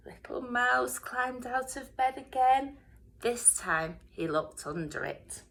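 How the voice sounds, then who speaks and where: A woman reading a children's storybook aloud in a dramatic way, often dropping into hushed whispers. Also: a little high, animated, slow pace, creaky. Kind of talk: expressive children's storybook narration.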